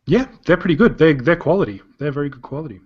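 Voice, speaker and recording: A man's voice: a little high, animated, quick pace, breathy edge. A man in his thirties with an Australian accent, chatting on a podcast in a rambling way that often restarts sentences. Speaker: podcast co-host. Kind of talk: casual chat. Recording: dull lo-fi podcast audio.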